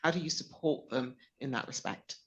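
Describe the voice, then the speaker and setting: A woman in the audience with a loud voice, cheerfully asking the panel a question. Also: a bass voice, moderate pace, slightly rough, poor audio.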